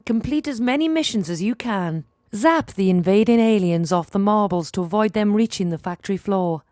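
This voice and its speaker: A woman with a medium-pitched voice delivers scripted game instructions. She enunciates clearly, in the manner of a tutorial narrator. The recording is dry, with no room sound on the voice. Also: lively intonation, moderate pace, a little mellow, no room sound.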